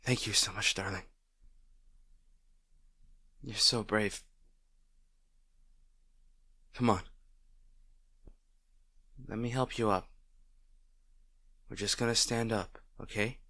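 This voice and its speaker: A man playing a gentle, protective boyfriend in an intimate roleplay audio, speaking in a tender, reassuring way. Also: on the low side, steady tone, takes it slowly, long pauses, dry recording, exaggerated voice. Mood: tender, soothing. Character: gentle, protective boyfriend comforting a distraught partner.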